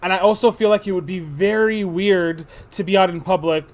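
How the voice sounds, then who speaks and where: A man's voice: high voice, fairly quick. Rambling, thoughtful man co-hosting. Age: 30s. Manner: rambling, thoughtful, many restarts. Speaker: co-host. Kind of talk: casual chat.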